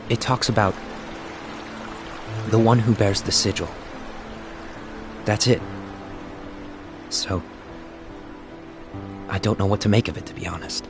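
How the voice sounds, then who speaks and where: An actor playing a troubled, brooding young man who guards a secret in a fantasy game. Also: low voice. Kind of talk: animated fantasy game cutscene acting. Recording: music behind the voice.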